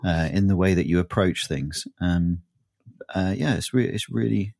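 A man's voice: sits low, moderate pace, creaky, darkish tone, no echo, clean studio sound. A man with a sore, throaty voice, chatting casually as a podcast co-host, rambling and often laughing. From southern England. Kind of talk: casual chat.

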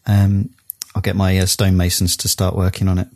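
A man's voice: deep voice, completely flat delivery, a little fast, darkish tone, no room sound, studio quality. A man co-hosting a podcast, chatting casually with lots of ums and uhs and self-deprecating jokes. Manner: rambling, hesitant with many ums and uhs. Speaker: podcast co-host. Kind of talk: casual chat.

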